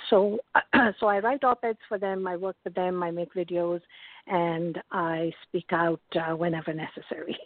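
A woman guest on a radio talk show, speaking in a measured, earnest way with frequent hesitations. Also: on the low side, dry recording. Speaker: guest activist. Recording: tinny phone line.

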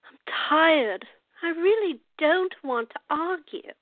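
Radio drama: cantankerous but fair old woman played by an actress, high and expressive, principled and unbending. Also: takes it slowly, no room sound, phone-quality sound, full character voice. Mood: weary. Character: stubborn, fair-minded old woman, cantankerous yet composed. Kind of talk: radio theater acting.

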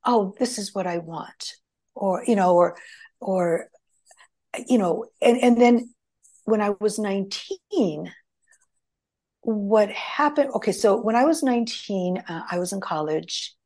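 Slow-talking woman with many restarts. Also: mid-range voice, a little mellow. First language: Greek. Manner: rambling, many restarts and fillers. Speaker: guest. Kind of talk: conversational storytelling.

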